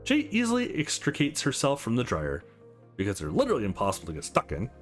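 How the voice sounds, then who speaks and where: Man narrating with very animated, swooping pitch. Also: quick pace, soft background music. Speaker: let's play host reading a visual novel. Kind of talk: dramatized narration of game text.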